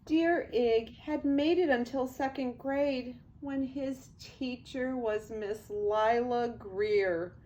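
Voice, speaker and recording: An older woman, a grandmother, reading a children's storybook aloud in an expressive, deliberate way. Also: a little high, rough recording.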